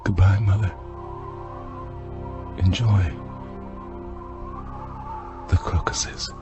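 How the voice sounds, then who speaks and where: An actor playing a somber, remorseful grown son in a radio drama, speaking in a hushed, halting way. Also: male voice, low-pitched, steady tone. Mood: mournful, resigned. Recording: somber music behind the voice.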